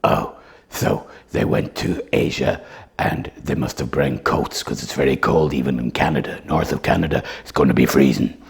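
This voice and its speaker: A man voicing a dim, curious male puppet sidekick with a croaky voice in a comic puppet show. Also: rumbling low, normal speed, exaggerated voice. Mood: naive, puzzled. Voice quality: very gravelly, croaky. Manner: naive, questioning.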